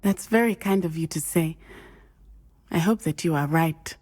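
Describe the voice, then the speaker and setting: A woman's voice: low voice, normal speed, a little mellow. An actress playing an earnest, determined young student witch with a precise, formal way of speaking. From Matabeleland. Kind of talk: video game voice acting.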